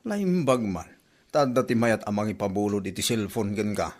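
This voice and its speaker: A man's voice: on the low side, sing-song, moderate pace, a little mellow. Gossipy Ilocano village husband played by a man. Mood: probing. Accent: Filipino Ilocano. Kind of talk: comedy drama podcast acting.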